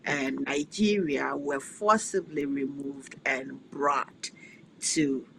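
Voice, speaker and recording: A woman's voice: a bass voice, fairly even intonation, takes it slowly, gravelly, a little hiss or hum. A woman from Ghana hosting a podcast interview, reflective and affirming, often answering with "yes". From West Africa, Ghana. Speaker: podcast host.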